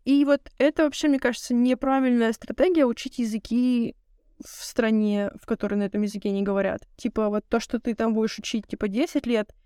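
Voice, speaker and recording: Young female podcast co-host, quick and filler-heavy, bright-pitched, recorded dry. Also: fairly even intonation, smooth, no room sound. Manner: rapid, filler-heavy slangy talk. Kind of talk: casual chat.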